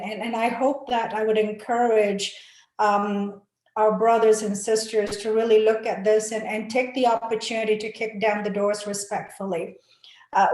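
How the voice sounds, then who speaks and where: A woman's voice: a little high, darkish tone. Earnest woman, echoey call. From Malaysia. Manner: earnest and encouraging, with frequent fillers and restarts. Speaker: panel speaker. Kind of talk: motivational talk on a webinar panel. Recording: echoey room.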